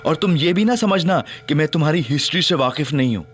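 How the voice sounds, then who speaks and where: A brash young detective, voiced by a man in an audio drama, with a lively voice that swings freely up and down, faint music behind. He teases and boasts with theatrical flair and narrates his own story. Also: on the high side, fast, close to an everyday voice. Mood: boastful. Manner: playful, teasing, theatrical. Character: cocky, joking young male detective and first-person narrator. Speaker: Ali Imran.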